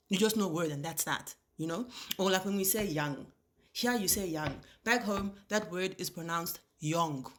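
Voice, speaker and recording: Chatty Nigerian woman ranting while cooking. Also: lowish voice. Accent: Nigerian English. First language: Igbo. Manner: lively storytelling, mimics pronunciations, rhetorical questions. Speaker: vlogger. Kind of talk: casual chatty rant to camera. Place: home kitchen while cooking.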